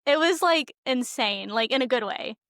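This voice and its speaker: A woman's voice: on the high side, lively intonation, moderate pace, a bit bright, no room sound, studio quality. A woman hosting a podcast, speaking in a casual, conversational interview style. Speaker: podcast host.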